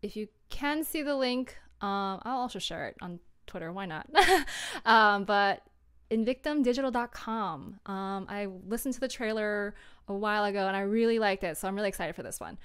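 Clear-voiced young woman chatting on a livestream. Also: medium pitch, normal speed, fairly bright tone. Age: young adult. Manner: chatty, rambling, giggly, apologetic. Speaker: livestream host, audio drama creator. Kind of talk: casual livestream chat with viewers. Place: small room indoors at home.

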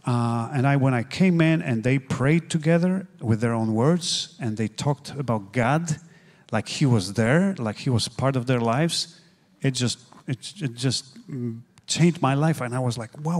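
Polish man telling his story, halting. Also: on the high side, darkish tone. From Poland. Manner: earnest, halting, many fillers. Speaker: guest pastor. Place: church stage, seated in armchair. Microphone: handheld mic.

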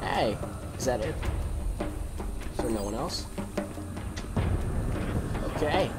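A man's voice: mid-range voice, animated, slow pace, loud music behind the voice. A young man with a cold and a sore voice, rambling casually while commentating gameplay. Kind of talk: let's play commentary. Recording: voice over loud game audio.

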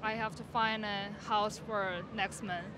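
A young woman answering a street interview in short, halting sentences on a busy city street. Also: medium pitch, fairly even intonation. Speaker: passerby. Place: busy downtown street outdoors. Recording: street traffic noise.

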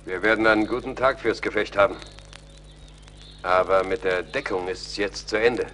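An actor playing an earnest, impassioned young army officer in a radio play. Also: male voice, on the low side, normal speed, fairly bright tone, slight background noise, natural voice. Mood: tense. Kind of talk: dramatic radio play acting.

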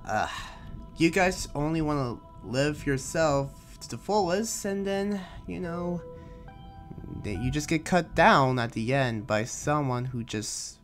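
A man playing a weary, conflicted demon slayer in an improvised game roleplay, speaking haltingly and pensively. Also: mid-range voice. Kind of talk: improvised Minecraft anime roleplay. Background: music.

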